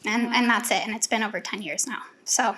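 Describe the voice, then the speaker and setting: A woman co-hosting a casual panel talk show, telling a personal story in an animated way and acting out what others said. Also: medium pitch, a little fast, heavy background noise. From United States (born in Bulgaria, raised in Seattle). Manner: animated storytelling, acts out quoted dialogue. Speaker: co-host.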